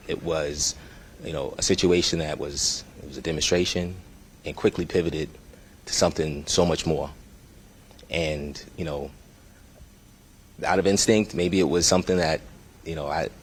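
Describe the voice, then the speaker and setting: A man in his forties, a police chief answering press questions in a measured, hesitant way with many fillers. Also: rumbling low, a little hiss or hum.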